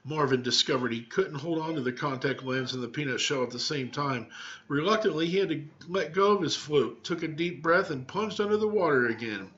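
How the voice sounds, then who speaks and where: A middle-aged man reading a children's book aloud as a teacher, pausing to explain words in plain, informal language. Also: a little high, animated, fairly bright tone. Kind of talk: read-aloud storytelling with word explanations. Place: small home room with cabinets, indoors. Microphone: webcam microphone at arm's length. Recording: dull webcam microphone sound.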